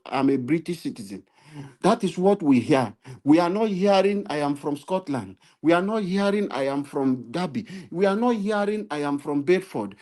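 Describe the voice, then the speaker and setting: Impassioned Nigerian man, mellow voice. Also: fairly high pitch. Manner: preachy, rising to emphatic appeals and repetition. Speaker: political commentator. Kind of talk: impassioned political commentary. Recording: live stream.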